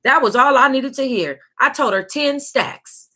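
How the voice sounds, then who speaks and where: An American woman reading a memoir aloud as a book club host, with sassy, exclamatory asides and drawn-out emphasis. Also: sits high, expressive, pushed and bright.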